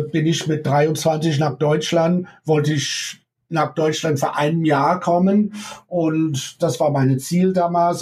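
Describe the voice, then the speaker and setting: American guy, middle-aged, slightly high voice, halting german with lots of ums and little laughs. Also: darkish tone. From United States. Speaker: guest, ballet teacher. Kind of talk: casual interview over remote connection.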